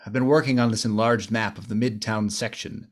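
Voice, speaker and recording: Man acting serious, urbane gentleman sleuth. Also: lowish voice, steady tone, fairly quick, lightly acted. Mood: thoughtful. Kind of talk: 1930s radio drama acting.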